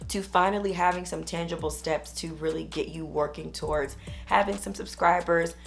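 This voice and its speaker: Hoarse woman, 30s, business coach; rough, gravelly, lowish voice, upbeat and chatty. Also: fairly even intonation, normal speed, soft background music. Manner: chatty, upbeat, uses "y'all". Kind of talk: casual talk to camera.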